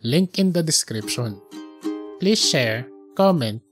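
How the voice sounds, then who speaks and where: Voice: medium pitch, animated, takes it slowly, music in the background. A narrator with a Filipino accent reading a scripted story for an audiobook podcast.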